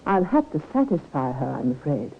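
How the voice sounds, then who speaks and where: An actress playing a devoted, anxious nurse with a polite, formal manner in an old radio drama. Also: female voice, low voice, very animated, normal speed. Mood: troubled. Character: anxious, devoted woman nurse, proper and easily offended. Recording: tinny, lightly noisy old radio recording.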